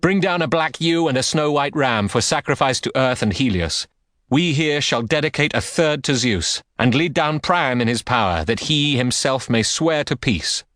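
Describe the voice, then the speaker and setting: A man playing a wronged warrior king who speaks gravely and solemnly across a battlefield. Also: mid-range voice, animated, normal speed, fairly bright tone, no echo, clean studio sound, voice pushed up, natural voice. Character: aggrieved veteran king calling for oaths.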